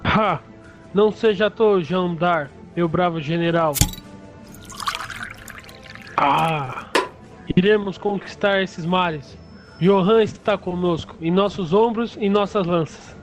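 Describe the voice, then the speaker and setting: Man acting a high-pitched, pompous warlike king. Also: takes it slowly, long pauses. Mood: boastful, scornful. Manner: pompous, declamatory. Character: boastful, war-hungry king dreaming of conquest. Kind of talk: audio drama acting. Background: faint music.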